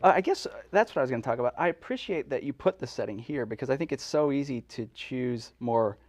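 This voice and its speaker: A man's voice: mid-range voice, animated, fast, a little mellow. A man co-hosting and interviewing in a casual, joking, conversational way. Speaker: co-host, interviewer.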